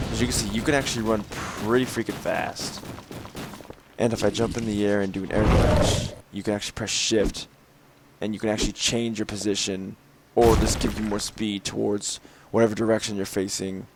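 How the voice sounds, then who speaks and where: A young man with a slightly raspy, tired voice, showing off a game mod in rambling commentary full of fillers and throat clearing. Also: fairly low pitch, noisy background. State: slightly raspy from lack of sleep. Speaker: gameplay video host. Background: game gunfire and clicks.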